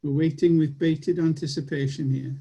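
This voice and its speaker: A man's voice: on the high side, fairly even intonation, normal speed, creaky, dark tone, husky. A man chairing an online annual meeting, opening it up for questions and giving earnest closing remarks.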